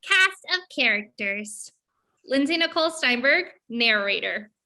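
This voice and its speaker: High, bright-voiced woman narrator. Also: expressive, measured pace. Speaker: narrator reading stage directions. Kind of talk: scripted play narration.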